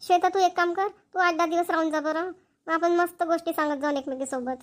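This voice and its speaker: A woman performs an excitable young cartoon woman. She chats in a familiar, exclamatory village style, like a close sister in a homely comedy. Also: extremely high pitch, a little fast. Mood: chatty, affectionate. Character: chatty, excitable young Marathi women, two close sisters. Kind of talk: Marathi cartoon comedy dialogue.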